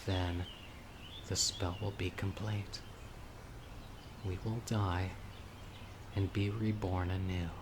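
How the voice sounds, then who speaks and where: A dying, devoted yandere witch, played by a man in an audio roleplay. His voice sits fairly low and moves very slowly, with deliberate, intimate pleading. Insects and birdsong are clearly audible behind him. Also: little pitch movement, background noise. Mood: calm, ominous. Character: obsessive, dying young male witch, devoted yandere lover. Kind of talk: audio roleplay, dramatic monologue to listener. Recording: outdoor nature ambience, crickets and birds.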